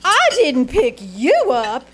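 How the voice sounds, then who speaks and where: Woman acting zany sharp-tongued screen actress, very high pitch, theatrical old-time radio comedy, noisy live stage recording. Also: very animated, takes it slowly, full character voice. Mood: haughty, mocking. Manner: melodramatic, mock-grand, insulting.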